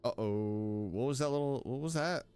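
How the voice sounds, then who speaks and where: A streamer reacting casually to a game, with playful, expressive interjections. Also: medium pitch, moderate pace, clear, resonant tone. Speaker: streamer, VTuber.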